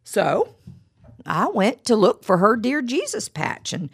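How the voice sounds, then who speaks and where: A woman's voice: mid-range voice, wildly swooping pitch, slow pace, breathy edge. A middle-aged woman giving a devotional talk with personal stories, her voice froggy from a cold, chatty and full of stammered repeats and "you know".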